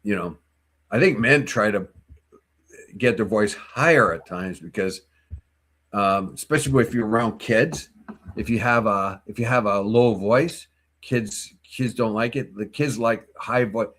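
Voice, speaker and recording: A man's voice: lowish voice, unhurried, fairly bright tone. A man co-hosting a local morning news talk show, talking through stories in a rambling, opinionated way with many restarts. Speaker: co-host.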